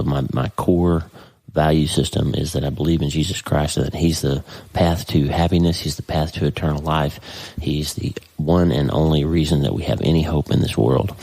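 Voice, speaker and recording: A man's voice: very deep voice, gravelly, mellow, no echo, poor audio. A middle-aged man with a stuffy, congested voice hosting an earnest, folksy faith podcast from his home office desk. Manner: earnest and folksy, with many restarts. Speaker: podcast host. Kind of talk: casual faith-based podcast monologue.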